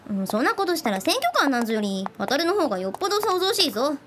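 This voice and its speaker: A woman's voice: high-pitched, big pitch swings, rapid-fire, background noise. A woman playing an earnest young first-time voter who admonishes her friend in a radio drama. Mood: scolding.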